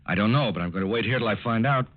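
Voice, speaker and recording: An actor playing a calm, businesslike FBI agent in a 1940s radio drama, terse, polite and purposeful. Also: male voice, lowish voice, racing, noisy background, natural voice. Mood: quietly purposeful. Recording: tinny narrow-band old radio recording.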